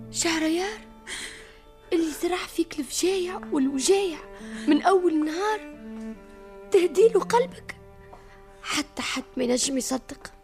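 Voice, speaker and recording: A loyal young maidservant in a Tunisian radio drama, worried and protective. She pleads in a hushed, earnest voice pitched very high, with faint music behind her.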